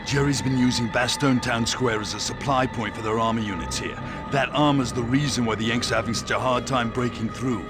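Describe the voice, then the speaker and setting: An actor playing a seasoned British army sergeant with a British accent, giving brisk commanding orders in a game. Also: male voice, medium pitch, natural voice. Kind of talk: video game cutscene acting. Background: loud war music and gunfire.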